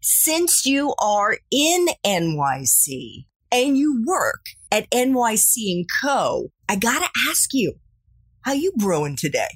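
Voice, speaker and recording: A woman's voice: fairly high pitch, very animated, slow, slightly rough, no room sound. A woman hosting a podcast interview who asks her guest questions in an upbeat, friendly way. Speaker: podcast host.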